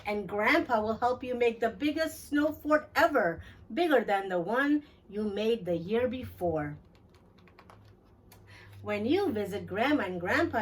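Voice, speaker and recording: A woman with a fairly high voice narrates a children's picture book. She takes her time, very slowly and expressively shaping phrases for young listeners, and sounds slightly away from the microphone. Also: far from the mic, rough recording. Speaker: children's book read-aloud host. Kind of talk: scripted storybook narration for children.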